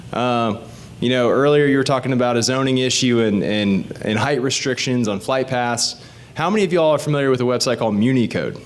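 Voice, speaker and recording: A man's voice: mid-range voice, slight background noise. Man in his thirties, conversational. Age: 30s. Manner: conversational and explanatory, often asks the audience questions. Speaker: state legislative candidate. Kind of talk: informal talk to a community group.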